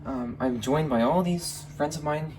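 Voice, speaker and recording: A man's voice: on the high side, measured pace, darkish tone. Enthusiastic rambling guy, noisy amateur recording. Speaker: video creator reviewing weather radios. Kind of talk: casual unboxing commentary to camera with friends listening.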